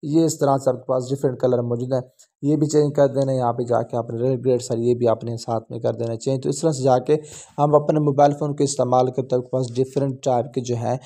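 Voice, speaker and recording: Chatty man, mellow voice. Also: medium pitch, little pitch movement, fast talker. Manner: chatty, many fillers and "theek hai ji". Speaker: tutorial host. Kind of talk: phone screen-recording tutorial.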